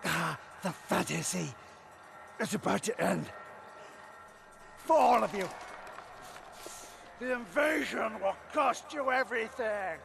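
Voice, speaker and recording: A man's voice: high voice, lively intonation, gravelly, noisy background, heavily acted. A man playing a proud, cruel warlord in a video game cutscene, taunting in a theatrical, grandiose way. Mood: grandiose menace. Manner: taunting, slow grandiose delivery.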